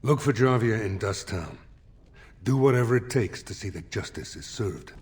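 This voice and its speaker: An actor playing a dignified, earnest older lord in a fantasy game, courtly, measured and solemn. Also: male voice, on the low side, expressive, a little mellow, slight background noise, natural voice. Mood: resolute. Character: dignified elder lord seeking a throne, earnest. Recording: faint game music behind.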